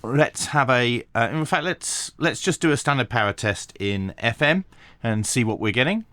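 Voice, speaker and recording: A man giving a hands-on tech tutorial, talking through each step methodically with pauses and dry asides. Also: medium pitch, animated, sharp tone, no room sound. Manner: methodical, thinking aloud with frequent pauses. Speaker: radio reviewer.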